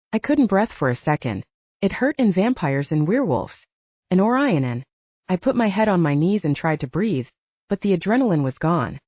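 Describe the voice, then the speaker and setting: A woman's voice: on the low side, warm tone, dry recording. Dry, muffled woman narrating fiction expressively. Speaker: audiobook narrator. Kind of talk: scripted first-person fiction narration with acted dialogue.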